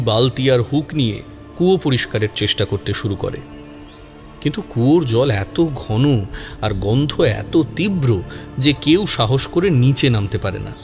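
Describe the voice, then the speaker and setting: A man narrating a scripted horror story in a suspenseful, measured way, drawing out key words. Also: mid-range voice.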